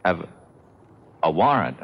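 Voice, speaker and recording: An actor playing a dogged, folksy police detective in a 1970s radio drama, slyly casual, rambling through falsely apologetic questions. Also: male voice, sits low, sing-song, slow pace, slightly rough, heavily acted. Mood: folksy probing. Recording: dull, noisy 1970s radio recording.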